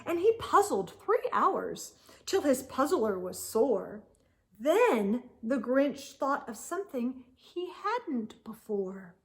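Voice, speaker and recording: A woman with a high-pitched voice hosts a children's storytime. She reads rhyming verse at a slow pace, leaning into the rhythm. Key words get dramatic, expressive emphasis. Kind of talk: expressive read-aloud of a rhyming picture book.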